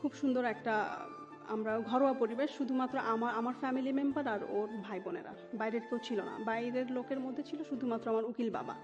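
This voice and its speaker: A woman with a Bangladeshi accent recounts her own life as an interview guest. Her voice sits fairly high and she slips English words into her sentences. The recording is a bit muffled, with music clearly playing underneath. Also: steady tone, brisk. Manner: mixes English words and phrases into talk. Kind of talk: personal storytelling in an interview.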